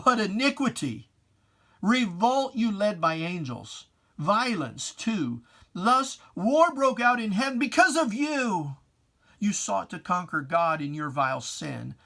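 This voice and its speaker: Poetry reader, solemn and theatrical, very measured pace, mid pitch, for dramatic religious verse. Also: a bit bright. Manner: solemn, theatrical, drawn-out phrasing.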